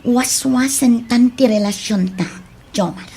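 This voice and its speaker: An actress playing a young student daughter in a radio drama, earnest and emotive, with a slightly husky voice and a Filipino accent. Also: female voice, fairly high pitch, a little slow, mellow. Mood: firm, upset. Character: young student daughter, remorseful, firm about breaking up. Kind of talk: Ilocano radio drama acting.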